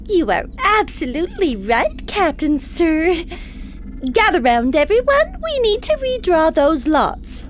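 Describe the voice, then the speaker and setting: An actress playing a long-suffering alien ship's officer in a comedic audio drama, dry, sarcastic and wearily officious. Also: female voice, extremely high pitch, big pitch swings, a little slow, soft background music, exaggerated voice. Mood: sardonic.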